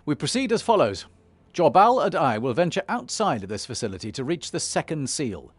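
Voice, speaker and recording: An actor playing a commanding, measured and decisive warrior leader in a fantasy video game. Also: male voice, fairly high pitch, very animated, breathy edge.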